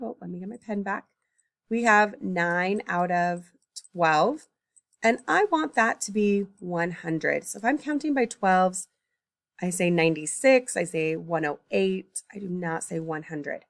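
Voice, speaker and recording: A woman with a gravelly, creaky edge to her voice talks through a math lesson, working each problem aloud. She stops to correct her own slips and sounds tired, early in the morning. The recording is dry and close, with no room sound. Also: mid-range voice, no room sound. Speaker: math teacher.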